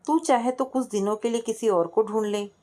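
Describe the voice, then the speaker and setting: A woman's voice: fairly high pitch, moderate pace, dark tone, pitched above the performer's own voice, close to an everyday voice. A woman voicing a playful young engaged cousin in a family story, bantering in a breezy, joking way.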